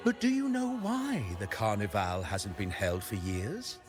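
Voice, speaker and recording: An actor playing a pious, lofty high-ranking cleric in a video game, formal, measured and preachy. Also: male voice, wildly swooping pitch. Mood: solemn, preaching. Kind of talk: theatrical video game voice acting. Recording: music behind the voice.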